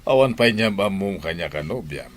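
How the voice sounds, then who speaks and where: A man's voice: deep voice, expressive, moderate pace. A man with an Ilocano Filipino accent playing a stern village father in a radio drama. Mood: stern, probing. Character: stern village father questioning his grown son.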